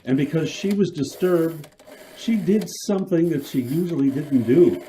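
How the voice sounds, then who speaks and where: A man narrating a radio drama in a measured storytelling manner. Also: fairly high pitch, mellow, slight background noise.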